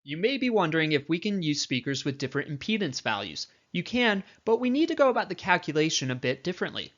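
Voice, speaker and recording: A man's voice: a little high, very animated, fast talker, a bit bright, muffled recording. A man reading scripted tutorial narration as an instructor, explaining things in an instructional way.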